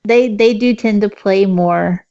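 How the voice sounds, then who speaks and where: A woman's voice: mid-range voice, slow, warm tone, highs cut off. A middle-aged woman from Kentucky with a Southern accent, chatting loosely as a podcast guest, laughing often and swearing casually. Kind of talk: casual chat.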